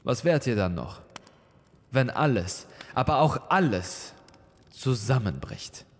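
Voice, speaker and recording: A man voicing a stern old pope in an audio story, quiet and cold with suppressed anger. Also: mid-range voice, sing-song, slow pace, big-room sound, voice pushed up. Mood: cold challenge. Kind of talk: dramatic audio story dialogue.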